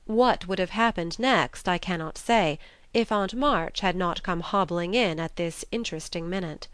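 A woman's voice: fairly low pitch, smooth, no room sound. A woman reading a classic novel aloud as a scripted literary narration. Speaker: audiobook narrator.

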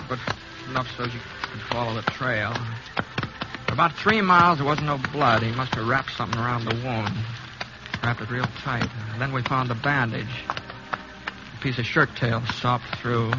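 An actor playing a steady, laconic gunslinger drifter in a 1950s radio western, with understated, folksy Western delivery. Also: male voice, mid-range voice, normal speed, loud music behind the voice, natural voice. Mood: grim, measured. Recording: muffled, noisy 1950s radio recording.

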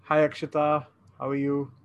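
A man from India, co-hosting a podcast in a relaxed, slightly hesitant casual chat. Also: a little high, normal speed, highs cut off, faint music behind. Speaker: podcast co-host.

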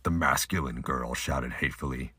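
A man narrating a scripted amateur audio drama with a husky voice. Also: rumbling low, moderate pace, sharp tone, no echo.

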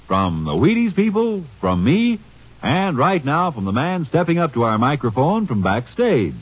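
A man's voice: on the high side, big pitch swings, normal speed, breathy edge. A man announcing a commercial with upbeat, showy delivery in 1940s radio style. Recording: dull old radio recording.

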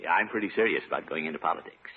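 Flustered, blustering radio commissioner. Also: male voice, sits low, expressive, very fast. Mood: bashful, hopeful. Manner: hesitant, bluffing, stammering. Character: flustered, blustering middle-aged water commissioner, fancies himself a politician. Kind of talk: 1950s radio sitcom acting. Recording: noisy narrow-band 1950s radio recording.

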